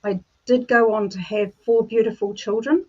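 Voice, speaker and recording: A woman from New Zealand, a life coach, telling her personal story in a measured way over a video call. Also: on the high side, steady tone, darkish tone, dull audio.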